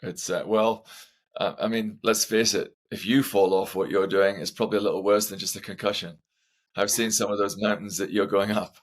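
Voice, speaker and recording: A man's voice: on the low side, fairly even intonation, fairly quick. A Scottish man hosting a podcast interview, chatty and self-deprecating, asking eager questions. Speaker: podcast host. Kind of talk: casual interview.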